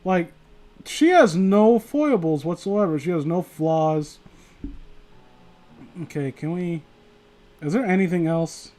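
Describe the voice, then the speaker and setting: A man with a notably high voice reads aloud to a livestream in a loose, informal way. He rambles, swears freely and often drops a sentence halfway to add mocking commentary. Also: slow pace, pauses often, a little mellow. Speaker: streamer reading stories aloud to viewers.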